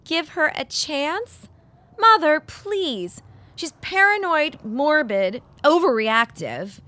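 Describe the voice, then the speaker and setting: Refined, higher-voiced haughty young lady. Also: lively intonation, slow pace, bright, forceful tone, dry recording, exaggerated voice. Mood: defensive, exasperated. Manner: genteel, formal, dismissive. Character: refined, wistful, haughty grown daughter. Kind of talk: period audio drama dialogue.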